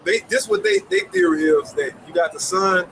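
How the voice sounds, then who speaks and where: A man's voice: sits high, sing-song, moderate pace, noisy background. A man arguing casually outdoors, reasoning aloud in a slangy, emphatic way. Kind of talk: casual argument.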